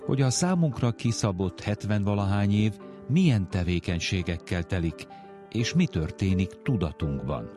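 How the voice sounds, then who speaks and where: A man with a deep voice hosts a radio programme. He reads prepared narration in a presenter's style, with music clearly playing behind him. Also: lively intonation. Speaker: radio host.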